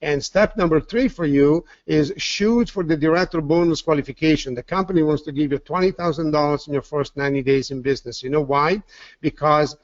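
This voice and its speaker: This is a man with an Italian accent giving an upbeat sales pitch on a webinar. His voice sits a little high, and he often repeats words and says "uh" as he goes. The audio is dull and dry, like a lo-fi computer mic. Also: a little fast, dry recording. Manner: enthusiastic, repeats words with fillers. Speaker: webinar presenter.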